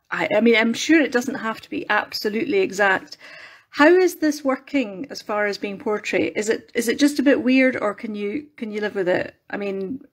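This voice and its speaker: A Scottish woman chatting away on a phone live stream as she crafts, rambling, restarting her sentences and poking fun at herself. Her voice sits a bit high and sounds slightly croaky. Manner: chatty, rambling, self-deprecating, many restarts. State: a little croaky from singing. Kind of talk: casual live-stream chat while crafting.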